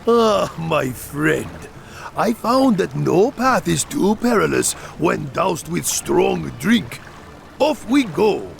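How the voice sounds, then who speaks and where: A man's voice: sits high, big pitch swings, creaky, soft background music, heavily acted. A man voicing a jolly, humble wandering brewmaster creature in a video game, genial and ale-loving. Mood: jovial. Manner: unhurried, genial, chuckling storyteller. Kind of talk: video game character acting.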